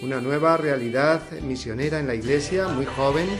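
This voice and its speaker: A man's voice: mid-range voice, soft background music. Spanish man hosting a Sunday radio show. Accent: Spain Spanish. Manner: measured, warm welcoming tone with frequent "eh" fillers. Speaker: radio host.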